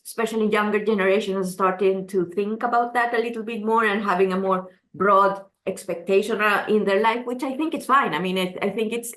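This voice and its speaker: A woman's voice: medium pitch, a bit bright. A woman from Chile, speaking English as an interview guest, answering earnestly with frequent restarts and "you know". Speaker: gender adviser, interview guest. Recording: roomy echo.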